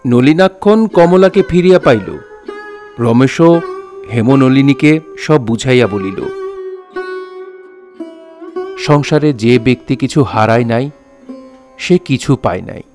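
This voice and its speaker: A man's voice: mid-range voice, expressive, slow pace, long pauses, darkish tone, soft background music. A man playing a solemn, sensitive poet who narrates his own novel in a reflective, literary way. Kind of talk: dramatised literary storytelling.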